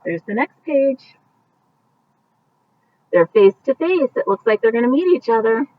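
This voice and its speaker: A woman reading a picture book aloud at bedtime, gently explaining the pictures with casual asides as if to young children. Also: a little high, very animated, measured pace, dry recording. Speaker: storybook reader. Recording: muffled, distant mic with faint background noise.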